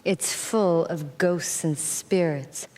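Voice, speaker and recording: A woman's voice: lowish voice, takes it slowly, mellow. A woman playing a brusque, haunted young spirit medium in a dramatic video game. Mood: uneasy, solemn. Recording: noisy game cutscene audio.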